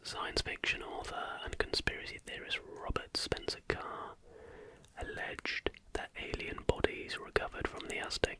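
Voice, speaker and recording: An ASMR host reads in a steady, breathy whisper with no voicing at all. The delivery is hushed and measured, in the manner of scripted narration. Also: poor audio. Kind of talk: ASMR reading of conspiracy history, scripted narration with brief asides.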